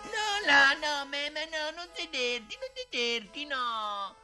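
A naive little boy from a radio storybook, put on by a man, pitched well above a grown man's voice and bright in tone. He talks slowly, repeating his pleas in breathless bursts. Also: sing-song, soft background music, heavily acted. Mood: pleading. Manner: pleading, breathless repetitions. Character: naive, simple-minded young boy.

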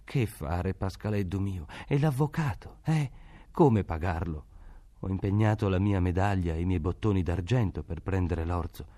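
A man's voice: deep voice, lively intonation, normal speed, mellow. A man voicing a poor, desperate peasant mother with asthma, pleading anxiously. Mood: worried.

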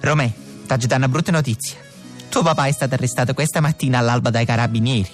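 A man's voice: mid-range voice, fast talker, soft background music, lightly acted. A man playing a theatrical Neapolitan mob mother. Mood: mournful. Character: mob mother, dramatic and resigned. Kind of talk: comic radio drama.

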